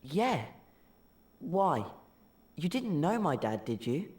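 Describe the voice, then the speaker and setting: A man's voice: a little high, big pitch swings, takes it slowly, a little mellow. A male actor playing an earnest, eager schoolboy wizard hero in a video game, speaking in a keen, straightforward way. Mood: hesitant, curious. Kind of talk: video game cutscene acting.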